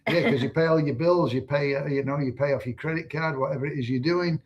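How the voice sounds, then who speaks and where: A man's voice: on the high side, fairly even intonation, fast talker, highs cut off. A middle-aged man with an English accent, co-hosting a livestream in casual chat. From Manchester, England. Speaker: co-host, online business owner.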